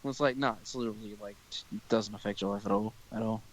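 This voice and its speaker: A man's voice: on the low side, steady tone, fast, poor audio. A young man hosting a podcast, chatting casually in a rambling way and swearing freely. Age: young adult. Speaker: podcast host. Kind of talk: casual chat.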